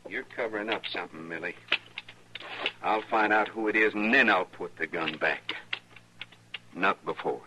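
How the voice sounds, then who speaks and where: An actor playing a jealous, hotheaded young frontier husband in an old-time radio Western, with a husky, tense voice. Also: male voice, fairly low pitch, normal speed, pauses often, noisy background, natural voice. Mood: angry.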